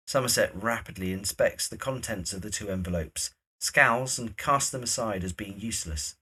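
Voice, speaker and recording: Man narrating a drama, slightly husky. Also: lowish voice, moderate pace, pushed and bright. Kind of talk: scripted narration of stage directions.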